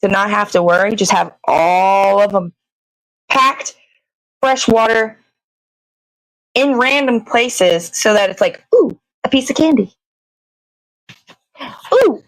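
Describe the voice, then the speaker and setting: A woman's voice: on the high side, no room sound, low-quality recording. A woman hosting a casual livestream, chatting in a meandering way, often searching for words and swearing casually. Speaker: live show host. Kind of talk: casual rambling livestream chat.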